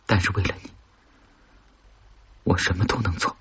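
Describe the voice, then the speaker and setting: A man voicing an obsessive, lovesick woman who pleads intensely in a breathy, whispery voice, in an audiobook. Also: sits low, little pitch movement, normal speed, lo-fi sound. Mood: pleading. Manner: imploring, intense.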